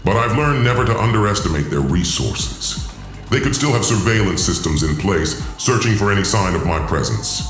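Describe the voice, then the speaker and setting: A man performs an imperious alien overlord for a science fiction audio drama. The voice is low and highly animated, issuing commands with contempt, in an echoing space. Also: moderate pace, over music, lightly acted. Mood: measured, guarded. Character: scheming, imperious male alien overlord posing as god.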